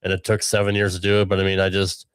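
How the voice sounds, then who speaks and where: A middle-aged man with a deep radio voice, talking casually on a podcast in a relaxed, chatty way with frequent ums and restarts. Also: quick pace, smooth, dry recording. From Connecticut, USA. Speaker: podcast producer and guest.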